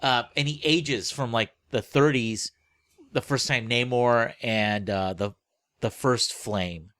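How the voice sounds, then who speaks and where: Halting, rambling man in casual chat. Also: mid-range voice, fairly bright tone, no echo, studio quality. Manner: rambling, halting, often trailing off mid-phrase. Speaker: podcast co-host. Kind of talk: casual book-club chat.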